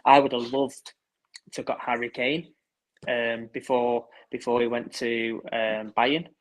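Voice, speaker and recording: Male pundit, monotone, halting casual chat. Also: medium pitch, rough recording. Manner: hesitant, repeats words. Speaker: guest pundit.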